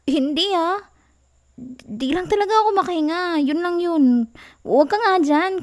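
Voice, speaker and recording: Woman acting as young Filipina girlfriend, high voice, slow pace, clingy, pouty, soft whiny teasing, ASMR roleplay.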